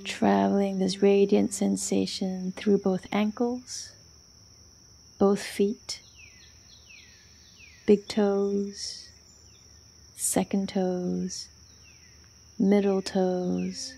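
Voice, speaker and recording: Female meditation guide: very unhurried delivery, soft and breathy with gravelly fry, faint music underneath. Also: mid-range voice, level tone, slight background noise. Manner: soft, soothing, breathy, with long pauses between short phrases. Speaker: meditation and yoga instructor. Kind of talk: guided yoga nidra meditation.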